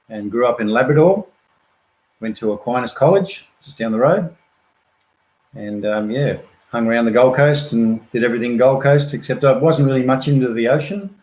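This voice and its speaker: A man's voice: mid-range voice, a little mellow. Laid-back middle-aged man, echoey room. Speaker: guest volunteer. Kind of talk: casual live-stream chat.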